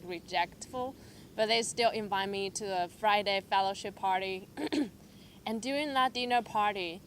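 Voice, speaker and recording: A woman's voice: mid-range voice, slight background noise. Young woman from Taiwan telling her story. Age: young adult. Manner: hesitant, filler-heavy storytelling with lively quoted asides. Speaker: church member sharing testimony. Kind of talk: personal testimony.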